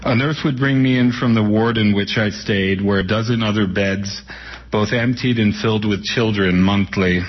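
A man in his sixties from New York City speaks in a lowish voice, slowly recalling his childhood with many pauses before reading from his own book. The sound is dull and muffled, like an old radio broadcast. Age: 60s. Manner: unhurried, reminiscing, with frequent pauses and fillers. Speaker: author and guest.

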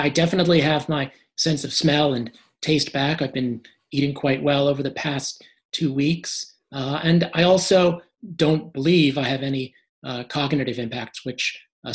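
Man, hoarse voice, short of breath, guest, lots of fillers. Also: mid-range voice. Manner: many "uh" fillers, measured. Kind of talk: interview.

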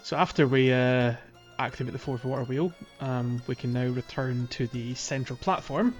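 Male co-host for a game walkthrough podcast, medium pitch, conversational and a bit halting, soft music bed. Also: lo-fi sound. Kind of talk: casual game walkthrough chat.

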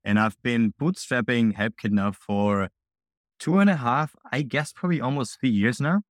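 A young man from Austria, a software founder, answering interview questions in an upbeat, chatty way full of fillers. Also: mid-range voice, moderate pace, no echo, clean studio sound. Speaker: guest, indie founder.

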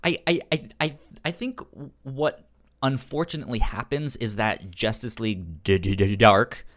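A man co-hosting a casual comics discussion, stuttering on sounds and syllables in a halting, informal way. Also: on the low side, fairly bright tone. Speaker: podcast co-host reviewing comics.

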